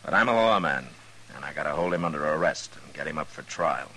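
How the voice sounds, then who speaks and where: Gruff old-time radio western lawman, american, deep voice, firm and terse, some hiss. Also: expressive, fast talker, sharp tone, heavily acted, low-quality recording. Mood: reluctant, measured. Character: stern, steady frontier US marshal, male. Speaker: radio drama actor. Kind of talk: 1950s radio western acting. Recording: hissy vintage radio recording.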